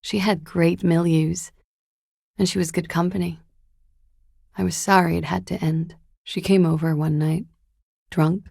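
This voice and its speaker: An actress playing a weary, guarded young woman on the run in a video game, speaking in a hesitant, subdued, confessional way with a breathy, gravelly voice. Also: mellow, no room sound, studio quality, exaggerated voice. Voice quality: low, breathy, husky and gravelly. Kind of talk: video game character dialogue, intimate and understated.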